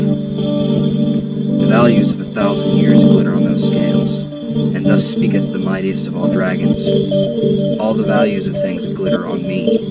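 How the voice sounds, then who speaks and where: Male audiobook reader, lowish pitch, solemn rhetorical delivery of old literary prose, heavy music bed. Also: low-quality recording. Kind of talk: solemn literary reading of archaic philosophical text. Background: music and sound design behind the voice.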